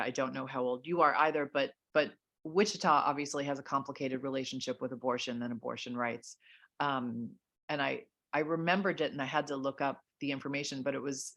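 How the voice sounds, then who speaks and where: A middle-aged woman hosting a book interview over a video call, speaking in a measured way with many fillers and restarts. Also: very deep voice, gravelly, a bit bright. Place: home room.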